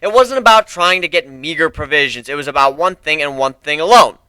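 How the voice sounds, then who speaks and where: A man's voice: on the high side, a little fast, sharp tone, clear, resonant tone, no echo. A man voicing a stern griffin prosecutor in a dramatic story reading, questioning in a formal, commanding way. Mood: forceful, indignant. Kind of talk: dramatic fan fiction reading.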